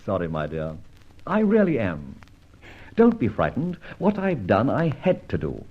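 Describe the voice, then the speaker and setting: An actor playing a masked, mocking yet courteous gentleman abductor in an old-time radio detective drama, with a theatrical, urbane, teasing delivery. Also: lowish voice, wildly swooping pitch, measured pace, husky, lo-fi sound, heavily acted. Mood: contrite, earnest.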